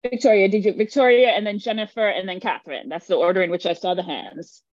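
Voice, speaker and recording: A woman's voice: medium pitch, fast talker, a little mellow, dry recording, muffled recording. Earnest woman leading a workshop. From Jamaica. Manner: earnest, emphatic, checks in with listeners. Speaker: workshop facilitator. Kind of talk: teaching a live online workshop.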